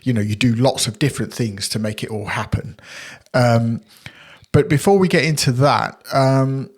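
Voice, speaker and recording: A man's voice: medium pitch, moderate pace. A man hosting a podcast interview from England, asking informal questions full of fillers and false starts. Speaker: podcast host.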